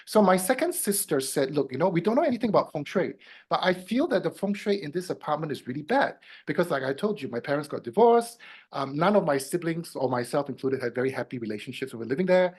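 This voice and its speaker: A man in his fifties who grew up in Singapore, telling his story as an interview guest. His voice swings up in pitch and volume at each new turn, then sinks low and soft at the ends of sentences. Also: sing-song, fast, slightly rough. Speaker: guest, feng shui consultant.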